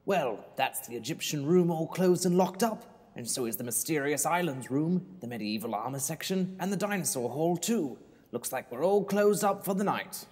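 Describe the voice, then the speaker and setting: A man playing an excitable, kindly, quaint museum caretaker in a children's audio drama, exclaiming theatrically with quirky oaths. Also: fairly high pitch, normal speed, big-room sound, slight background noise, natural voice. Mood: cheerful.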